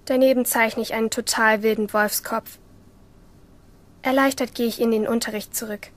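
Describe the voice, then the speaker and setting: A woman acting a resentful, obsessive teenage schoolgirl who narrates in a radio play, with a husky voice. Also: a little high, steady tone, normal speed, natural voice. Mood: resentful, tense.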